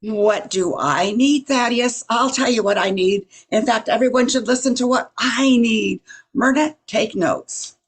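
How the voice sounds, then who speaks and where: A woman playing a bossy, demanding office boss with a raspy, imperious delivery in a radio comedy. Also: on the high side, measured pace. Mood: imperious, irritated. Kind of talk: old-time radio comedy acting.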